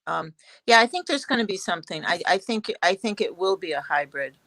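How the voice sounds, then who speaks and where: A woman's voice: on the low side, animated, fairly bright tone, dry recording. A woman speaking as a panelist on a video call, thinking her answers through and often repeating words as she goes. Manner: halting, thoughtful, repeats words. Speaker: playwright panelist.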